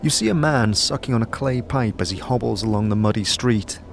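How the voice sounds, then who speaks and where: A man's voice: medium pitch, brisk, vocal fry, a little hiss or hum. A man giving scripted, second-person narration for a fantasy audio drama as its storyteller. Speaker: narrator and game-master storyteller.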